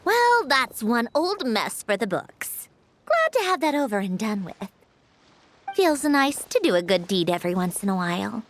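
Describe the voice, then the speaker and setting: Brief: cool, condescending genius, high and lively in pitch. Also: female voice, normal speed, slight background noise. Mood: wry. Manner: dry, condescending, lecturing. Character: aloof, sardonic female puppet-maker scientist.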